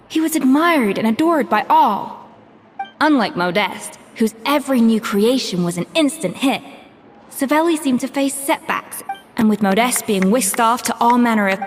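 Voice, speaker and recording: Earnest, high-voiced young woman acted in a game. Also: lightly acted. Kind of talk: video game dialogue acting. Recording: game music behind, long reverb. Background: soft game music.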